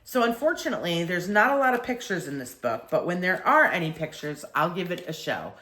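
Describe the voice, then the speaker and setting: Older woman reading aloud, low lively voice. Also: a little fast, roomy sound, rough recording. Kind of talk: expressive children's story reading.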